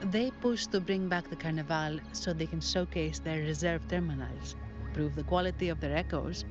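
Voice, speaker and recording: An actress playing a polite, earnest young local guide in a video game, gently explaining what she knows. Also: sits low, normal speed, lightly acted. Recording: muffled game capture under music. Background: prominent game music.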